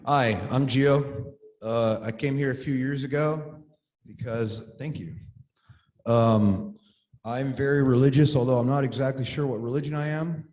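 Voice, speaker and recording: A man with a soft, dark-toned voice gives a casual, unprepared introduction. He talks haltingly and informally into a church microphone, with long reverb around him. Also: mid-range voice. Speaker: church member speaking as volunteer.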